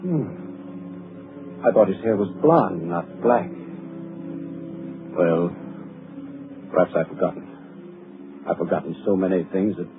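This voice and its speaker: An actor playing a deranged, obsessive doctor with a menacing, halting manner in 1940s radio thriller style. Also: male voice, low voice, animated. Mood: muttering, confused. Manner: halting muttering swelling into frenzied shouts. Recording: scratchy, muffled 1940s radio recording.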